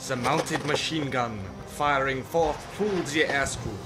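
A man's voice: mid-range voice, takes it slowly, over music. A man narrating as a theatrical, stern WWI flight instructor, pompous and declamatory, with old-fashioned wording in the style of a vintage military training film.

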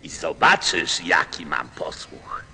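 A man's voice: sits low, huge pitch swings, exaggerated voice. An actor playing a pompous, lonely king in a radio play, grandiose but hesitant as he seeks obedience. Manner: grandiose, hesitant, measured with sudden swoops. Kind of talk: theatrical radio play acting. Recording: long reverb over music.

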